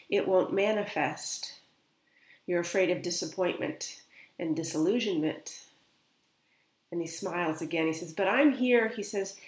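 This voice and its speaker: A woman speaking as a spiritual medium, gently and unhurriedly relaying another's words in a guided meditation. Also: medium pitch, reverberant.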